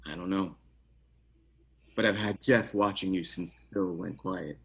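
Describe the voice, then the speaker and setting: A man playing a grave, haunted police detective in a screenplay table read, serious and measured. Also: low voice, fairly even intonation, gravelly.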